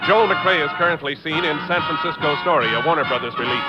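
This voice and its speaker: A male announcer with a high voice delivers scripted copy in formal 1950s radio style. Music plays behind him on a dull, aged recording. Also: quick pace.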